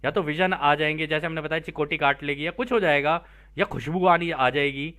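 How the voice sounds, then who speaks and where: A man's voice: on the high side, brisk, pushed and bright. A man teaching spiritual material, reading aloud and explaining it informally, checking in with listeners through rhetorical questions.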